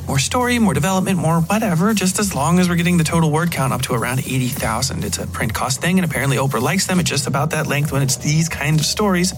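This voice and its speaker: An excitable woman literary agent, played by a man narrator, pitched well up from his natural voice. The delivery is gushing and chatty, rambling along in a fiction podcast with music underneath. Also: quick pace, hardly any pauses, lightly acted. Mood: excited, chatty.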